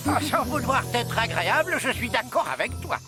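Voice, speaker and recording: Fussy, animated little cartoon pessimist. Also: male voice, very high-pitched, a little fast, over loud music. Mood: dry agreement. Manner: wordy, grumbling, pompous. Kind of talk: children's fairy-tale cartoon dubbing.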